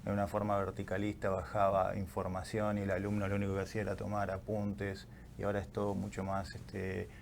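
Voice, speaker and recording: Man, Argentine accent, interview host, conversational, very deep, gravelly. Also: completely flat delivery, fairly quick, few pauses, a little hiss or hum. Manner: conversational, prompting the guest.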